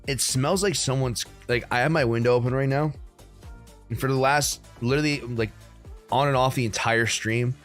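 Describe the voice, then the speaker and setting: A young man from the United States hosting a crypto livestream, chatting casually with viewers in a relaxed, rambling way full of ums and likes. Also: mid-range voice. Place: room at home.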